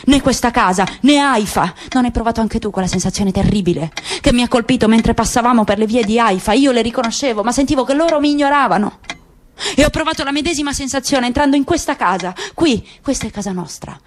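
A woman's voice: on the high side, a little fast, a little hiss or hum, close to an everyday voice. A woman reading as a bitter grieving father. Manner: bitter, insistent, rhetorical. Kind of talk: radio drama reading.

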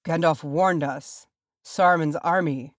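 A woman's voice: on the low side, normal speed, no room sound, studio quality, close to an everyday voice. A woman voicing a grim male dwarf leader in a fantasy story, terse and snapping out orders.

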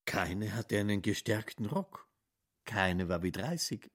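Male narrator for literary radio drama, low and husky. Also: expressive, a little slow, fairly bright tone.

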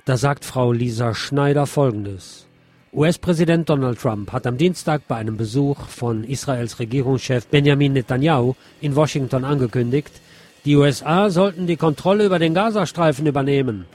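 A man's voice: mid-range voice, normal speed, darkish tone. Male radio host reviewing the press. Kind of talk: press review, commenting and reading news aloud.

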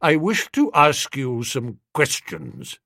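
A man's voice: mid-range voice, wildly swooping pitch, slow pace, pushed and bright, no room sound, studio quality, exaggerated voice. Man acting a cold, haughty old English knight. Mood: icy anger. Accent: upper-class English. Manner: cold, clipped, imperious.

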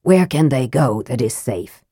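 A woman voicing a cold, sardonic young male mage who speaks dryly, flatly and curtly in a lowered voice. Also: measured pace, husky, no echo, full character voice. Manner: dry, flat and clipped.

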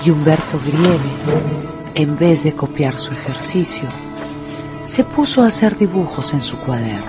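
A woman's voice: sits low, animated, unhurried. Peruvian woman narrating a story over music. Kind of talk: scripted dramatic storytelling narration for radio. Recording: music and schoolyard crowd behind.

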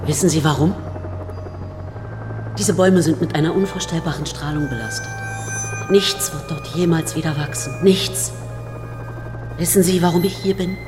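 A woman acting a stern Soviet scientist in a radio play, cold and commanding, with a lowish voice. She sounds in a long, echoing hall with music beneath. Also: normal speed, long pauses, natural voice. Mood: grave, stern. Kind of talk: German radio drama acting. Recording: reverberant hall, music underneath.

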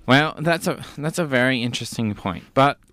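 A flustered, stammering man acting out a comedy sketch for a podcast. His pitch swings widely, and the recording is close and dry. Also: animated, normal speed, bright, forceful tone, dry recording.

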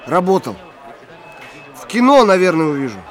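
Guarded, higher-voiced party clerk. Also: male voice, very animated, moderate pace, faint music behind. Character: guarded, terse male party clerk in a dystopia. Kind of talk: Russian radio drama acting.

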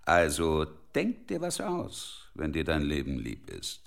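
A man's voice: rumbling low, huge pitch swings, slow pace, creaky, exaggerated voice. A man in a German radio play acting a menacing leader of ruthless intruders, sarcastic and scornful. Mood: cold threat.

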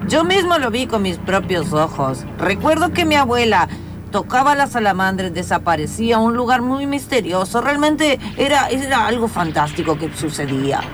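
A woman's voice: fairly high pitch, very animated, normal speed, background noise. A woman playing an earnest country farmer who solemnly reminisces in improvised comedic radio theatre. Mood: solemn wonder. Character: earnest country farmer recalling childhood mysteries. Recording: clear music behind the voice.

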